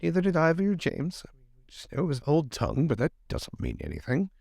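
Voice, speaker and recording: Man playing animated, high-voiced polite lord. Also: a little fast, vocal fry, no echo, heavily acted. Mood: mildly put out. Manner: polite, hesitant, passive-aggressive. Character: mild young lord, politely peevish, easily pleased. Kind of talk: improvised tabletop roleplay.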